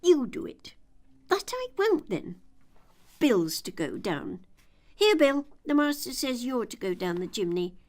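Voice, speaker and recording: Woman acting out bossy animal labourers, colloquial, calling orders, storybook, high lively swoops. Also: measured pace. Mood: urgent, bustling. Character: bustling crowd of bossy working animals.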